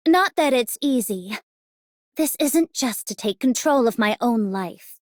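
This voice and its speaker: A woman's voice: fairly high pitch, animated, measured pace, no echo, clean studio sound. A woman voicing a proud, determined young policewoman in a game, with an assertive manner. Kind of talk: scripted game character voice line.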